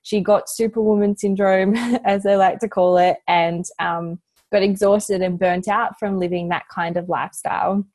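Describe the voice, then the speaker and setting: A woman hosts a podcast, talking in a loose, chatty way full of little fillers. Her voice sits at a middle pitch and the sound is close and dry, with no room around it. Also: steady tone, moderate pace, no echo. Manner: warm chatty, many fillers like "like" and "you know". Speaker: podcast host. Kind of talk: casual interview chat.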